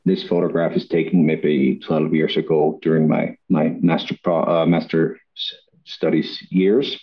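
A man from Iceland telling his story on a podcast in a chatty, relaxed way, with frequent "uh" fillers and restarts. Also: a bass voice, unhurried, breathy edge, lo-fi sound. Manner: chatty, laughs often, many "uh" fillers and restarts. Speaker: guest, acoustics company CEO. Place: Reykjavik, home or office.

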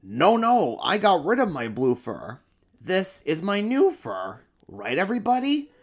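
A man's voice: high voice, wildly swooping pitch, unhurried, pitched above the performer's own voice, full character voice. Man doing a boastful monster emperor. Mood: insistent. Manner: boastful, showy, then sheepish. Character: vain, attention-hungry male monster emperor.

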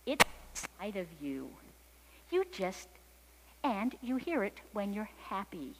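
A woman voicing a gentle, reassuring mother cat in a storybook, speaking tenderly and unhurriedly. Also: mid-range voice, sing-song, slow pace, in a large hall, noisy background.

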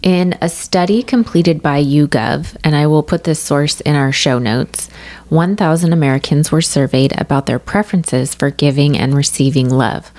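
A woman's voice: low-pitched, normal speed, darkish tone. A woman hosting a podcast, reading her intro and survey figures in an organized way and steering the chat with questions. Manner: organized, reads stats and prompts. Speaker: podcast host.

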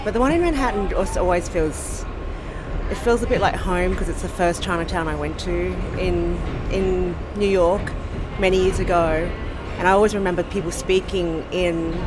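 An Australian woman from Sydney, speaking in a casual, thoughtful interview and pausing often with ums and restarts. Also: on the low side, noisy background. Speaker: podcast host being interviewed.

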